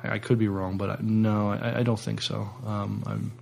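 A man with a fairly low voice and plenty of vocal fry chats as a podcast co-host. He is hesitant and feels his way through each point, often rewording it. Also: little pitch movement, moderate pace, a little mellow, slight background noise. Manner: hesitant, self-correcting. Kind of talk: casual chat discussion.

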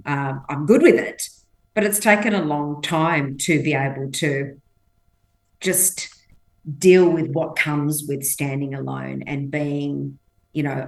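A woman's voice: deep voice, vocal fry. A woman whose first language isn't English, telling personal stories emphatically as an interview guest and acting out quotes. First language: not English. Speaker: podcast guest. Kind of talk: conversational interview storytelling.

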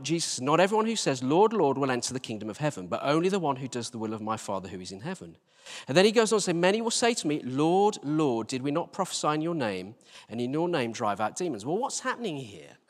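A man preaching a conversational sermon, emphatic, asking rhetorical questions and repeating phrases for stress. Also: fairly high pitch. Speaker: church team leader preaching. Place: large reverberant indoor church space. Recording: long-reverb church hall sound.

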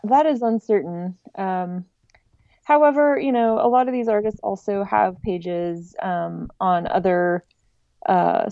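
Female podcast guest, soft dark tone, thoughtful and conversational, dry but slightly lo-fi recording. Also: lowish voice, creaky, dry recording. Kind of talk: casual interview answers.

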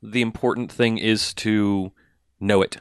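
A man with a very low voice co-hosting a podcast. He jokes and gives emphatic advice, hammering points home by repeating himself. The recording is close and dry. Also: steady tone, measured pace, smooth, dry recording. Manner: jokey, emphatic, repeats words for effect. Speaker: podcast co-host. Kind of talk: casual podcast chat.

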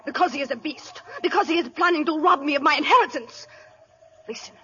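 An actress playing a melodramatic young heiress guarding a fortune, speaking in a theatrical, intense way in a 1930s radio play. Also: female voice, high voice, fast talker, pushed and bright, dull audio. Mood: dramatic. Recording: scratchy 1930s radio broadcast.

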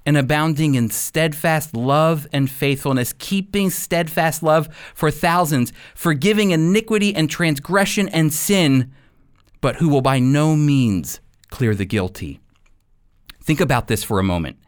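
A man preaching as a Bible teacher, earnest and deliberate, stressing key phrases through repetition. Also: on the high side, clean tone, no echo, clean studio sound. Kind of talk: sermon, expository Bible teaching.

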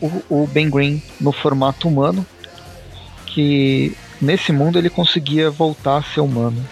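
A man's voice: on the high side, fairly even intonation, measured pace, vocal fry, soft background music, rough recording. A Brazilian man co-hosting a casual podcast, recapping a comic story with loose asides and frequent laughs. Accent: Brazilian Portuguese. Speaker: podcast co-host. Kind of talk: casual comic review chat.

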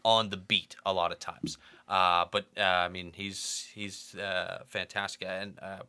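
Male, middle-aged. Low but very sharp, bright voice; casual, digressive interviewee. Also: little pitch movement, unhurried, clear, resonant tone. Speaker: podcast guest.

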